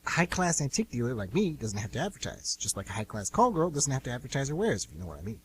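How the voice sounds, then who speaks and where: Woman playing a evasive little brother grown up, very low husky voice, swooping intonation, quick and touchy, radio play. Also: sing-song, slight background noise, rough recording. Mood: defensive, irritable. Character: shifty, defensive younger brother, secretive grown man. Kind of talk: amateur radio drama acting.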